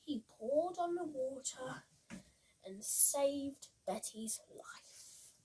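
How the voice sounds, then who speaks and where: A female reader giving an animated, slightly halting read-aloud of a children's story. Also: sits high, background noise. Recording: voice far from the mic.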